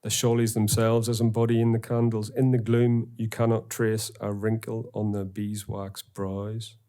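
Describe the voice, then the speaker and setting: A middle-aged man with a Northern Irish accent giving an academic lecture in a university auditorium, reading poems aloud in a measured way. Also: lowish voice, completely flat delivery, warm tone. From Northern Ireland. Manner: measured, reads quotations slowly.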